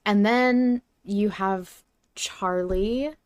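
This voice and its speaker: A woman's voice: medium pitch, very slow, clear, resonant tone, no echo, studio quality. A woman co-hosting a podcast in a casual, emphatic fan discussion, swearing freely. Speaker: podcast co-host.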